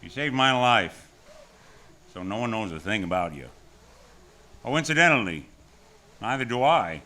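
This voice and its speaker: A man's voice: fairly low pitch, big pitch swings, normal speed, bright, forceful tone. An actor with an American accent playing a tough, wisecracking hardboiled hero who tells his story in a noir radio drama, wry and terse. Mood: wry probing. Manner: wry, terse, world-weary. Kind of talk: first-person noir radio drama acting.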